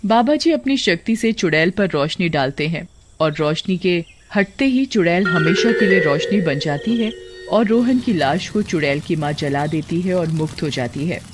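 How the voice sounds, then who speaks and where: A man's voice: fairly high pitch, lively intonation, moderate pace, music in the background. A man reading scripted horror story narration as a storyteller.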